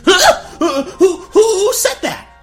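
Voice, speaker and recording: A woman's voice: extremely high pitch, expressive, takes it slowly, darkish tone, soft background music, pitched above the performer's own voice, exaggerated voice. A woman voicing a cowardly, easily flustered young prince in a gag-dub cartoon radio play, stammering and breaking into indignant outbursts.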